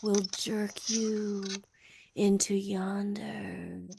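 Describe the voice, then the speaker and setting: A woman voicing an old-fashioned, wordy male python snake in a slow, drawn-out whisper. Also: mid-range voice, little pitch movement, vocal fry, background noise. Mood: urgent.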